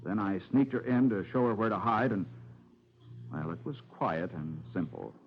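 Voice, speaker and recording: Scheming husband, low voice, cold, matter-of-fact, old radio crime play, muffled recording. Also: male voice, moderate pace, husky. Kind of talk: 1940s radio drama acting. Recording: dull vintage radio recording.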